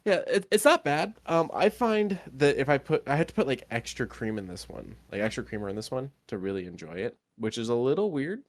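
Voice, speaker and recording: A man's voice: medium pitch, sing-song, a little fast, few pauses, dry recording. A sniffly man co-hosting a casual podcast chat, rambling with lots of ums. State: sniffly, congested. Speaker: podcast co-host.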